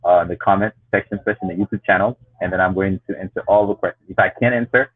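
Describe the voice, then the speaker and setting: A man's voice: deep voice, steady tone, racing, breathy edge. A man from Haiti hosting an interview, encouraging and casual, with many short replies. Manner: warm, encouraging, frequent backchannels. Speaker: host. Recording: tinny phone-like line.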